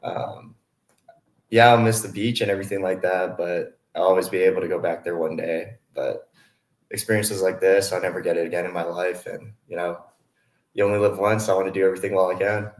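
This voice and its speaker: A college athlete, a man in his twenties, answers interview questions casually. His voice is very low and he comes across as easygoing and mellow. The sound is roomy and echoing. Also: steady tone, fairly quick. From Hawaii, USA. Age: 20s. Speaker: college baseball player, interview guest.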